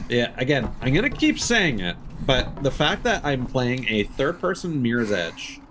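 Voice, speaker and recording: A man's voice: mid-range voice, sing-song, moderate pace, music in the background. A man doing casual live game commentary, chatting with viewers and rambling as he thinks aloud. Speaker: live streamer playing a video game.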